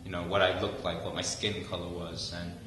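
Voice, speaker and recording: A man's voice: deep voice, little pitch movement, a little fast. A young male student from Malaysia answering interview questions conversationally, with fillers. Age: young adult. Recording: echoey hall from far off, faint noise.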